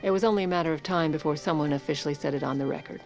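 A sharp tongued first lady in an audio drama, kinda low voice, dry and bossy, schemer. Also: soft background music. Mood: wry. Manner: wry, brisk, commanding. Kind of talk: audio fiction acting with wry first-person narration.

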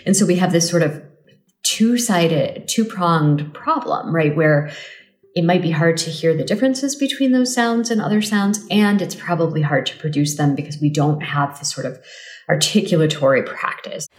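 Woman linguist explaining stuff casually, lots of "sort of", deep voice, echoey room. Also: expressive, normal speed. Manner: thoughtful, casual explaining with hedges. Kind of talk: interview answers.

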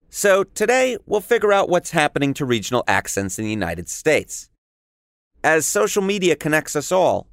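Lively man hosting with a New York accent. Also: mid-range voice, dry recording, studio quality. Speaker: podcast host. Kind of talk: scripted podcast narration.

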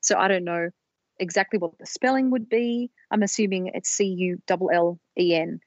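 A woman co-hosting a podcast, explaining research in a measured storytelling way on a slightly dull, lo-fi recording. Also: fairly low pitch, no room sound. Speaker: podcast co-host presenting research.

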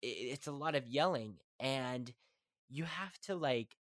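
A young man hosts his weekly show, chatting about acting in a loose, rushed way. He trips over his words and restarts sentences often. His voice sits at an ordinary pitch, and the recording is dry and close. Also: lively intonation, a bit bright, no echo. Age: young adult. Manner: fast, stumbling, restarting often. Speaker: podcast host. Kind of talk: casual talk about acting.

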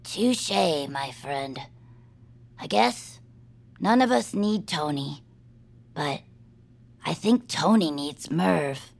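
A woman playing an earnest, excitable young bird in an audio fiction cartoon, with a rambling way of talking. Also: deep voice, very slow, vocal fry, long pauses, full character voice. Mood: warm, urging.

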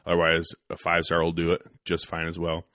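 Casual Midwestern male host, deep voice, loose and profane, dry close sound. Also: completely flat delivery, fast, pushed and bright, no echo. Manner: laid-back, swears casually, laughs often. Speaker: podcast host. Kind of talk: casual podcast chat.

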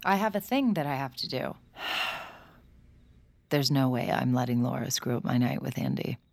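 Low, creaky-voiced, guarded young-sounding woman. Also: expressive, a little slow, full character voice. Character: guarded, nervy adult woman, secret mind reader, wry. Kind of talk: audio drama acting, intimate narration and dialogue.

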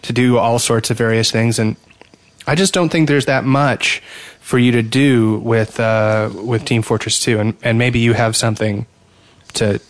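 A man's voice: medium pitch, steady tone, a little slow, no echo. A man co-hosting a casual gaming podcast, wry and teasing, with a slightly pedantic streak. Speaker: podcast co-host.

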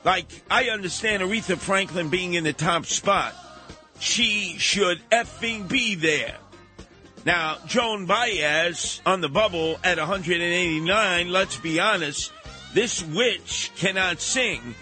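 A man hosting a radio talk show, emphatic and theatrical, repeating words for effect in an animated, opinionated style. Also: sits high, slow, soft background music.

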